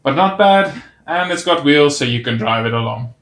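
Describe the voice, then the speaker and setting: A man's voice: medium pitch, expressive, moderate pace, fairly bright tone. A man narrating a casual toy set review, pointing out details with easy enthusiasm. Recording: roomy echo on the voice.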